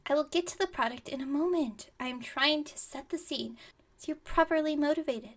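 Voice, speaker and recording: A woman playing a flustered, dramatic, scatterbrained witch shopkeeper in an ASMR roleplay, theatrical but chatty and rambling. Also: fairly quick. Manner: theatrical sales pitch slipping into chatty rambling.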